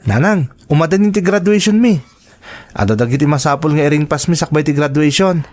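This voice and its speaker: A man's voice: on the high side, fairly quick, darkish tone, lightly acted. A man acting as a caring student son in an Ilocano radio drama, speaking tenderly and respectfully with an Ilocano accent. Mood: attentive. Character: devoted, caring teenage or young-adult student son.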